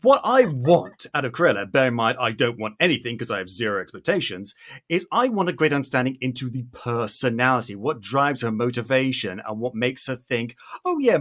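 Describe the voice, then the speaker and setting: A man's voice: medium pitch, a bit bright. A man hosting a casual pop culture chat, joking and opinionated in a nitpicky way. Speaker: podcast host.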